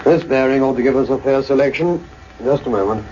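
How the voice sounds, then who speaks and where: A man's voice: medium pitch, fairly quick, warm tone, close to an everyday voice. A man acting as a respectful, efficient research assistant in a radio play, brief and businesslike. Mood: dutiful. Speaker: assistant scientist. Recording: noisy, muffled radio drama recording.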